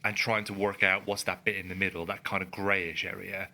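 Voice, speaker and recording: A man co-hosts a relaxed studio podcast, speaking in a low-pitched but very bright, forceful voice. He is earnest and emphatic and works his thoughts out aloud as he goes. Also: a little fast, gravelly. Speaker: podcast co-host. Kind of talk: casual conversational podcast. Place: studio in a building. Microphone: studio microphone.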